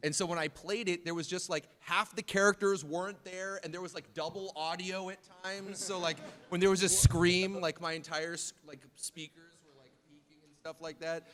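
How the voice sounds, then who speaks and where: A man hosting a casual group chat about animation, joking and excitable. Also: sits high, moderate pace, in a large hall. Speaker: host.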